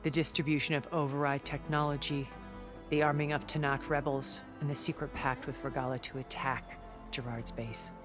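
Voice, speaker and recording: An actress playing a worldly, regretful, scheming middle-aged woman of a far-future elite in a video game, speaking in a measured, articulate, theatrical way. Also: low-pitched, little pitch movement, music in the background, lightly acted. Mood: sly confidence. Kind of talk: dramatic video game cutscene acting.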